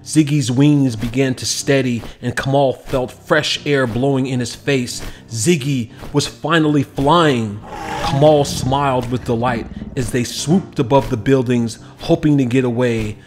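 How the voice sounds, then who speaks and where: A man reading a children's picture book aloud as an expressive, scripted narration. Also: medium pitch, unhurried, soft background music.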